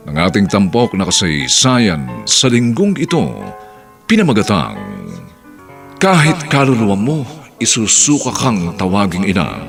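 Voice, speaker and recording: Male radio narrator, Filipino accent, unhurried, gravelly creak, scripted drama introduction. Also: on the low side.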